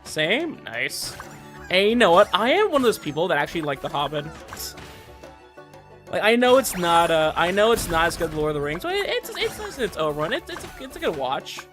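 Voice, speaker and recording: Guy streaming games, voice a bit on the high side, rambly excited chat, faint music behind him. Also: fairly quick. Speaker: game livestreamer. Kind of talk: casual chat while streaming gameplay.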